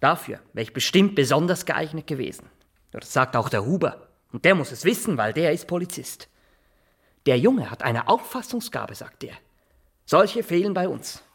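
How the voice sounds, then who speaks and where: An actor playing a nervous, boastful Swiss small-town barber in a radio drama, rambling, halting and always justifying himself. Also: male voice, fairly high pitch, sing-song, gravelly, a bit bright, slightly rough, full character voice. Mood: boastful, self-justifying. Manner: rambling, halting, repeats himself, keeps adding tag questions. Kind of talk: naturalistic radio drama acting.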